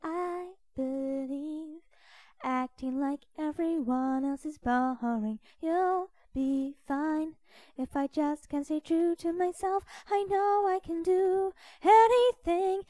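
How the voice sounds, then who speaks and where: A woman sings a cappella in a very high voice, taking her time over each phrase. It is casual amateur singing from memory, unaccompanied, in a dry recording with very little room sound. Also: slow, mellow, dry recording, soft background music. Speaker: amateur singer and fandubber.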